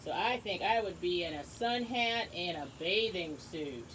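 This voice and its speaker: A woman teacher reading a book aloud to young children, encouraging them and pausing to ask questions and explain things simply. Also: lowish voice, animated, noisy background. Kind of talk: read-aloud lesson with asides and questions to children.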